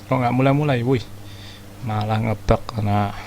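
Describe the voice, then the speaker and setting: Indonesian man with a creaky, chatty voice. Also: mid-range voice, fairly even intonation, fairly quick, darkish tone, slight background noise. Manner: slangy, chatty, mixes in English words. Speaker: gaming video host. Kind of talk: casual game playthrough commentary.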